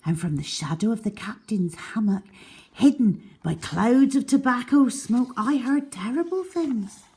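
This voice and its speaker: A woman's voice: a little high, expressive, measured pace, mellow. A woman storyteller reading a children's diary-style story aloud with expression.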